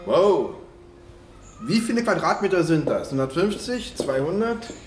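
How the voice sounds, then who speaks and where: A man's voice: sits high, big pitch swings, measured pace, over music. An actor in a German radio drama playing a chatty, plain-spoken, wry male police detective with a breezy, explanatory manner. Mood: impressed.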